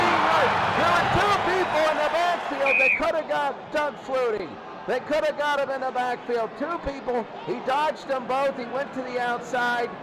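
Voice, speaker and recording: A man calling football play-by-play, getting excitable when the action picks up. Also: very high voice. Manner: excitable on big plays. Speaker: play-by-play sports commentator. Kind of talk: American football game commentary. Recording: clear background noise.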